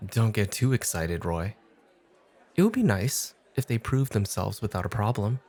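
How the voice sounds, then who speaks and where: A man playing a cool, exacting off-duty club host who teases and challenges in a measured way, in an ASMR roleplay. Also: fairly low pitch, animated, a little mellow. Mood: stern, teasing. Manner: challenging, measured, coolly teasing.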